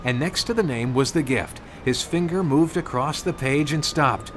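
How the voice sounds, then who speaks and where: A man's voice: on the high side, moderate pace, a little hiss or hum. A man reading a scripted children's storybook narration. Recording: music behind the voice. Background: background music.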